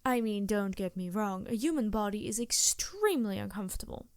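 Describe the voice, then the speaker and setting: A woman's voice: mid-range voice, animated, a little fast, no echo, clean studio sound, close to an everyday voice. A woman playing a vain, seductive succubus demon in an ASMR roleplay, languid, teasing and theatrical. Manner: languid, flirtatious, theatrical, sighing. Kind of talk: ASMR roleplay, improvised character acting.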